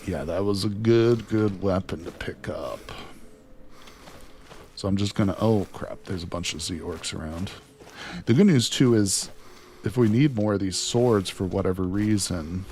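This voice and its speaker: Low-voiced guy streaming a game, excited and chatty with viewers, voice going a bit, light hiss. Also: unhurried. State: losing his voice. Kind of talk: casual gameplay commentary.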